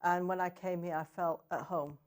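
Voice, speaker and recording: A woman's voice: fairly low pitch, fairly even intonation, moderate pace. A middle-aged woman from northern England with a Sheffield accent, chatting as a guest in an interview in an upbeat way. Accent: Sheffield English. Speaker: guest, city economic development director. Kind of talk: casual interview chat.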